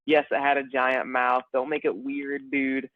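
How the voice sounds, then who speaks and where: A man co-hosting a casual podcast, rambling and animated, calling his friend bro and man. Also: medium pitch, unhurried, no echo. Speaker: podcast co-host. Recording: dry phone-like sound.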